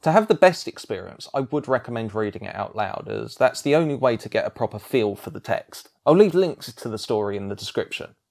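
A man's voice: medium pitch, very animated, a little fast, vocal fry, few pauses, a little mellow. A man giving scripted narration as a video essayist.

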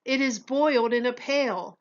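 Woman as an emphatic know-it-all waiter, slow. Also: a little high, muffled recording, voice pushed up, close to an everyday voice. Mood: confidently contrary. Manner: emphatic, declaring, sing-song rhyming.

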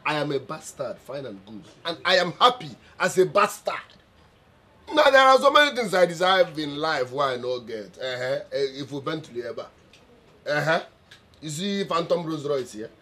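A man's voice: fairly high pitch, sing-song, normal speed, bright, forceful tone, exaggerated voice. A young man with a Nigerian accent playing a defiant son who argues with his mother, exasperated and mixing in Pidgin and Igbo phrases. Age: young adult.

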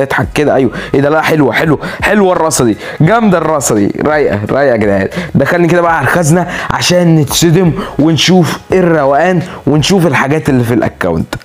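A young man with an Egyptian accent chatters through a game account showcase at a rapid clip, his voice sitting a little high. He is tired from a sleepless night, yet he still bursts into excitable, dramatic exclamations and playful mock threats. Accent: Egyptian Arabic. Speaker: gaming YouTuber.

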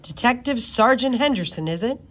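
Lady doing a proud headmistress from a storybook, formal, sure of herself, high lively voice. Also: normal speed. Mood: formal, assured. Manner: formal, assured. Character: proud, firm, impeccably dressed female school head.

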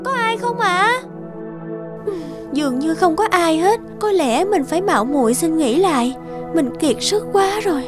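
A woman voicing a gentle, loyal young princess in a cartoon fairy tale, polite and earnest. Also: very high-pitched, expressive, a little slow, music in the background. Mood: weary, wondering.

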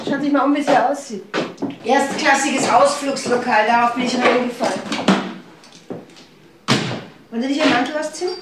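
Echoey, high-voiced, disgruntled innkeeper woman played by an actress. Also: lightly acted. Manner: complaining, bitter, blunt. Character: disgruntled woman working at a shabby country inn. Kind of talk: German crime radio play acting. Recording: echoey, distant, noisy with clattering dishes.

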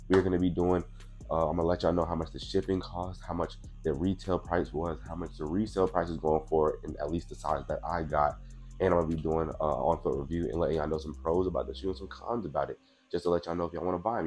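A man's voice: extremely low pitch, darkish tone. A young man in his twenties, a little congested from allergies, chatting casually through a sneaker unboxing in a small room on a clip-on mic. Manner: laid-back, chatty, says "you know what I'm saying" a lot. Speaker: sneaker vlogger. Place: small indoor room with purple lighting.

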